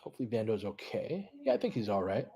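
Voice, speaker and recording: A man's voice: on the low side, big pitch swings, fast talker, dark tone. A man hosting a casual live basketball play-by-play, chatting informally with listeners and analysing play as it happens. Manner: conversational, analytical, informal with mild swearing. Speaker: host of a fan audio play-by-play stream.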